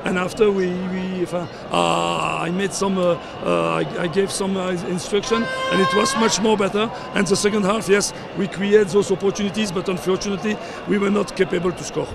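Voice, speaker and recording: An older man coaching football, speaking at a post-match press scrum outdoors, halting with many fillers and repeated words. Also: high voice, steady tone, creaky, noisy background. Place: outdoors at a sponsor backdrop, surrounded by reporters. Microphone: cluster of handheld reporter mics and phones.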